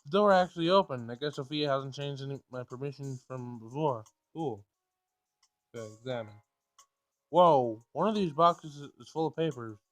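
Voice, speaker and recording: A man doing a casual game playthrough, reading dialogue aloud and thinking out loud in informal, slangy language. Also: mid-range voice, moderate pace, no echo, low-quality recording. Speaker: let's play gamer.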